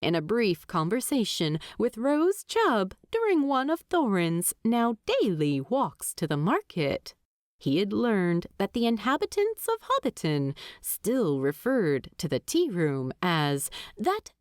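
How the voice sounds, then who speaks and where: A woman reading scripted story narration as an audiobook narrator, expressive and deliberate. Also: medium pitch, no echo, clean studio sound.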